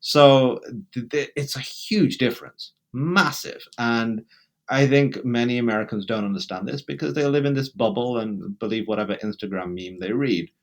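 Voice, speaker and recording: A man's voice: mid-range voice, normal speed. A man with a British accent, talking emphatically as a guest in an interview, in bursts with restarts. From England. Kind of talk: conversational interview.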